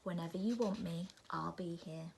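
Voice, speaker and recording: A woman voicing a calm, friendly, wise tiger who speaks gently. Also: fairly low pitch, moderate pace, creaky, noisy background.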